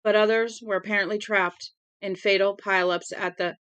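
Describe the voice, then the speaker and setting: A woman's voice: medium pitch, steady tone, moderate pace, fairly bright tone, clean tone, dry recording, lightly acted. A woman reading aloud as a male radio newscaster, delivering a disaster bulletin in a formal, urgent manner.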